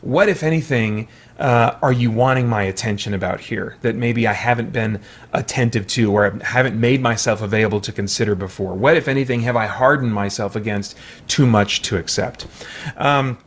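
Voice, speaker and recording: A man's voice: medium pitch, normal speed. Casual, thoughtful man reviewing films. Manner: conversational, hesitant and thoughtful, self-qualifying. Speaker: host of a film review channel. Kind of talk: casual unscripted movie review.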